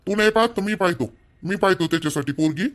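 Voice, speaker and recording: A man's voice: high-pitched, fast, fairly bright tone. A man playing an insistent village uncle in a cartoon, reasoning persuasively in Vidarbha Marathi.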